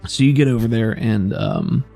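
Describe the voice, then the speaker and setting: Casting: male game master, mid-pitched with vocal fry, casual improvised narration over faint music. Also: dark tone. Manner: casual, halting, descriptive.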